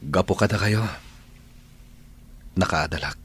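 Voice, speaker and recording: A man with an Ilocano Filipino accent acting a humble, apologetic young employee in a radio drama, with a husky voice. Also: low-pitched, measured pace, fairly bright tone, heavily acted. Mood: apologetic, pleading.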